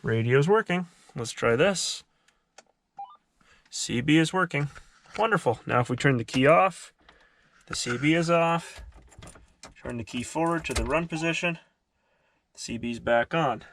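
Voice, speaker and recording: A man's voice: fairly high pitch, animated, unhurried, long pauses, a bit bright, a little hiss or hum. A man narrating a casual hands-on DIY tutorial in a methodical, explanatory way. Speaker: host of a DIY car repair tutorial.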